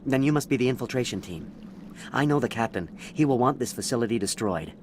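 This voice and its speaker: An actor playing a weary, bitter but stoic captured alien soldier in a video game. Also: male voice, medium pitch, animated, quick pace, slight background noise, natural voice. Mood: wary, dry.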